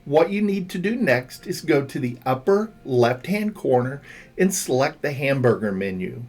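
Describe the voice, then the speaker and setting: A man reading a scripted instructional narration as a tutorial narrator, guiding the listener step by step. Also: medium pitch. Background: background music.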